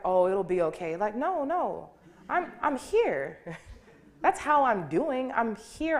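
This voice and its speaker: Higher-pitched woman giving a conversational sermon. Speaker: guest speaker giving a sermon.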